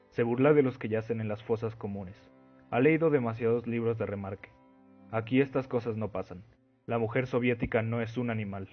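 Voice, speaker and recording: A man's voice: medium pitch, slightly rough, lightly acted. A man playing a stern Soviet censor in a radio play, scolding and pompous. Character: stern, indignant Soviet censor, self-righteous official. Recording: muffled lo-fi recording with music.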